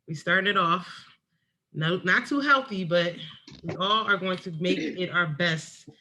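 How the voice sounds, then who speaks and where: Woman co-host, bright and forceful tone, easygoing and earnest chat. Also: fairly low pitch, measured pace, poor audio. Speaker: podcast co-host. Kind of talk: casual chat.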